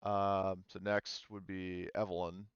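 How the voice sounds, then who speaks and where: A man's voice: deep voice, flat delivery, slow, slight background noise. A man running a casual online tabletop role-playing game as game master, explaining in hesitant pieces. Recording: dull, slightly muffled audio.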